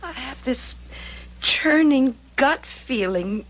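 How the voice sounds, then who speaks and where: A woman's voice: high voice, lively intonation, takes it slowly, a bit bright, dull audio, heavily acted. A young American woman acting in an old-time radio drama as a worried, protective daughter, earnest and pleading. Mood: anxious, pleading. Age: young adult.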